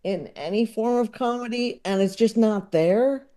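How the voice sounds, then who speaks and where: Male podcast guest, unhurried and mellow, rambling and self-deprecating in casual conversation. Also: high voice. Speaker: podcast guest, comedy writer and filmmaker. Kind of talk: casual interview chat.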